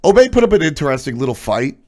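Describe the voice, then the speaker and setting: A man's voice: fairly high pitch, very animated, fast, a little mellow. A man with a stuffy, nasal voice streaming casual, blunt opinions on esports, trailing off into asides.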